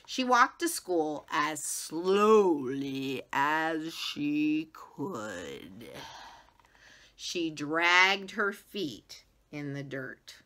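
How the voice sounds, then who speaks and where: A woman in her forties reads a picture book aloud. Her voice is fairly low and bright, with very animated pitch. She is dramatic and emphatic, leaving deliberate pauses for young listeners. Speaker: teacher reading a children's book aloud. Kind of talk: expressive storybook read-aloud. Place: small indoor room with wall boards.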